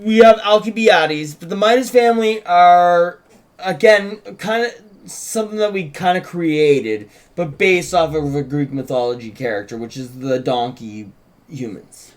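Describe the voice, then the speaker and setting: A man's voice: a little high, unhurried. A man co-hosting an unscripted writers' chat, interjecting and restarting his sentences as he insists on his points. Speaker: co-host and writer introducing a script read. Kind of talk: casual unscripted discussion.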